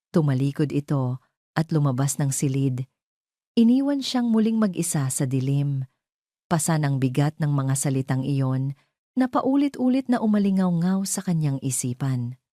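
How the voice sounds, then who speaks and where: A Filipino-accented woman narrates a romance audio drama. Her voice is low and mellow, recorded very dry. She tells the story in a measured, dramatic way, as a scripted storyteller. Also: expressive, dry recording.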